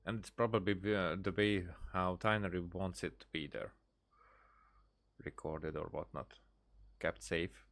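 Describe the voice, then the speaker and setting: A man giving casual live game commentary as a streamer, halting and searching for his words. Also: deep voice, steady tone, a bit bright.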